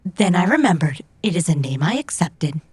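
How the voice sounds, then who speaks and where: A woman's voice: fairly low pitch, very animated, moderate pace, breathy edge. A woman playing a proud, stubborn female robot who speaks in formal, precise, stilted phrases in an audio drama. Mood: reflective.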